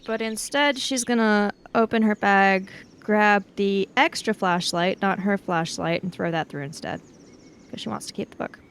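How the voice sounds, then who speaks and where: A woman's voice: medium pitch, normal speed, a little hiss or hum. A woman improvising in a casual tabletop role-playing game, switching between speaking as her character and narrating, sometimes hesitantly.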